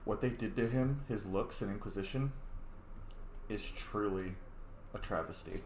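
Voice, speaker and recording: A man's voice: fairly low pitch, a little slow, darkish tone, a little hiss or hum. A man casually narrating his video game playthrough, rambling and thinking aloud as host. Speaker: host, gamer. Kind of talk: casual gaming commentary. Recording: roomy sound, faint game music.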